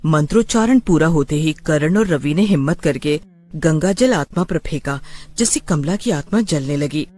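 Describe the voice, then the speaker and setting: A man's voice: on the high side, lively intonation, moderate pace, darkish tone. A man narrating a scripted horror story in a dramatic storytelling style.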